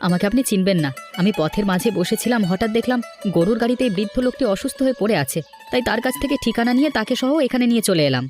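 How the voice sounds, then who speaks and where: A man voicing a polite, helpful young homeless villager in an animated folk tale, earnest and humble. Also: sits high, rapid-fire, faint music behind, natural voice.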